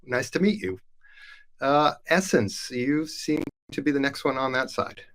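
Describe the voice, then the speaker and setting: A man's voice: mid-range voice, unhurried, a bit bright. Upbeat man hosting a live podcast. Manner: upbeat, chatty, with frequent fillers. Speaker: host. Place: Denmark.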